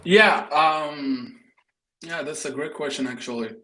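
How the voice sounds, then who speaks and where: A man from Morocco answering interview questions as a startup guest, speaking conversationally with halting, repeated words. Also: a little high, pushed and bright, rough recording. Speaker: interview guest, startup co-founder and engineering head.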